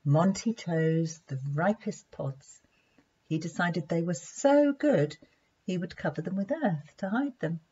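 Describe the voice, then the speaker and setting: Husky low-voiced woman reading a storybook. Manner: expressive, deliberate storytelling. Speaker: play therapist reading a children's story. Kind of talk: storybook narration for children. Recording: dull lo-fi sound.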